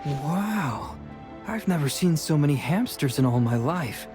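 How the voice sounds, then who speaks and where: A man's voice: fairly high pitch, animated, unhurried, music in the background. A man voicing a straightforward, curious young adventurer in a scripted video game. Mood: frank.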